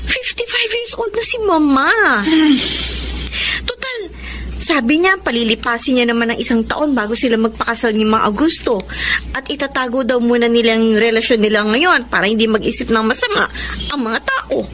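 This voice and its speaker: A woman playing a worried, conciliatory younger sister in a Filipino radio drama, gentle and pleading. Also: a little high, animated, normal speed, a little hiss or hum.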